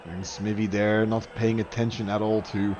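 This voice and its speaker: A man's voice: fairly low pitch, level tone, moderate pace, darkish tone, highs cut off, slight background noise. A young man commentating a live online match in a chatty way, voice jumping up on goals and saves. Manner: chatty, rises sharply on goals and saves, fillers and restarts.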